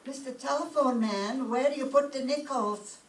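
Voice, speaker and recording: A woman's voice: high voice, moderate pace, natural voice. Woman playing a Yiddish-accented fussing mother, roomy stage. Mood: amazed, naive. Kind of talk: old-time radio comedy acting. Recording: roomy stage pickup, distant mic, faint noise.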